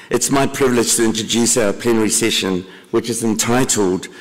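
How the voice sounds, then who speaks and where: A man introducing a conference plenary session, with dry deadpan jokes and frequent throat clearing. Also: on the low side, normal speed. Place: conference hall. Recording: long hall reverb.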